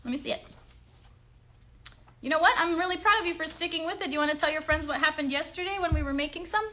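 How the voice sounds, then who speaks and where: Bright, high-voiced woman teaching kids crafts. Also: quick pace, slight background noise. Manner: encouraging, simple, asks the viewers questions. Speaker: children's craft show host. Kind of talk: step-by-step craft demonstration for children.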